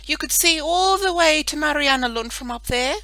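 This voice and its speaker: Brief: a reader doing a gleeful little girl, shrill and bright, in a storybook. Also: moderate pace, no room sound. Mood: excited. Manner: squealing, high and loud. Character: little girl, cheerful and daring. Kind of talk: storybook reading.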